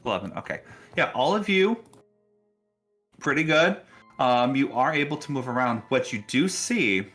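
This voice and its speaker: A man acting as game master, narrating casually and haltingly with frequent pauses. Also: mid-range voice, animated, fairly bright tone, soft background music. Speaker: game master of a tabletop role-playing game.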